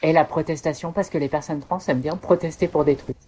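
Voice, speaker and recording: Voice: mid-range voice, fast, warm tone, a little hiss or hum. A podcast panelist chatting casually, hesitant and often repeating words while searching for phrasing.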